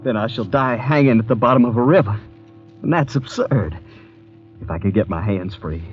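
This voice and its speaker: An actor playing a desperate condemned man in an old radio drama, raspy and strained as he urges himself on. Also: on the low side, lively intonation, moderate pace, faint music behind, lightly acted. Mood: desperate, urging himself. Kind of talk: 1940s-50s radio acting. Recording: dull vintage radio recording.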